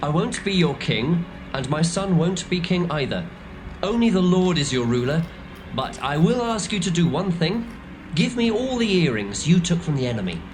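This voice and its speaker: Gideon, a hard-edged male war leader in a dramatised scripture drama. His voice sits high for a man and swings widely as he lays down threats, over a noisy recording. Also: sing-song, moderate pace. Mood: firm. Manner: forceful, threatening declarations.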